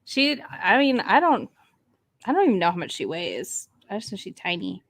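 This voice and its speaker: A woman's voice: medium pitch, moderate pace. A woman co-hosting a livestream, telling casual stories and acting out the quotes as she goes. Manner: animated storytelling, acts out pets' thoughts. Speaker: livestream co-host. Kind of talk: casual chat.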